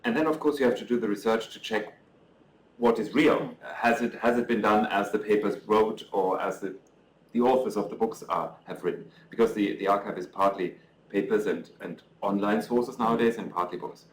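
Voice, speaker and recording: A man's voice: on the low side, steady tone, normal speed, recorded from a distance, a little hiss or hum. Middle-aged German-accented man, echoey lecture room. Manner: hesitant, many ums and restarts, dry self-mocking humour. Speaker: author presenting his book. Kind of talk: lecture talk, then interview answers. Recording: roomy echo, off-mic.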